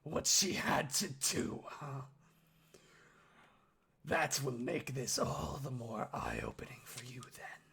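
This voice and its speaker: Gruff bitter old orc dad, gravelly and raspy, sneering, hissy whispers, fantasy villain. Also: male voice, mid-range voice, a bit bright, full character voice. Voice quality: husky, gruff. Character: bitter, menacing, scarred orc father, older male.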